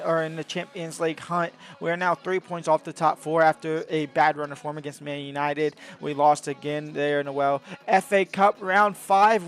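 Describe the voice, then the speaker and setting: A man giving excitable live commentary over his own video game match, his voice going hoarse. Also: on the high side. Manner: excitable, bursts into shouts at big moments. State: voice getting hoarse. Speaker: gaming video host.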